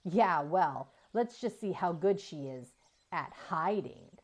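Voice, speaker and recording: Woman doing a playful, bossy little girl, lively pitch. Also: slow pace. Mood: challenging. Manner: whispering, whining, scolding by turns.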